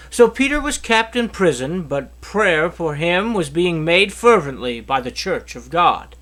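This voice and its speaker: A man reading scripture aloud as narration, with an expressive, emphatic storytelling delivery. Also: high voice. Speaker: Bible reader.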